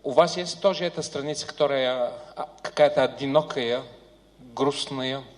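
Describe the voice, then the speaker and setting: A man's voice: medium pitch, normal speed. A man interpreting a seminar talk, hesitating and searching for words. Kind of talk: consecutive interpreting. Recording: hall reverb.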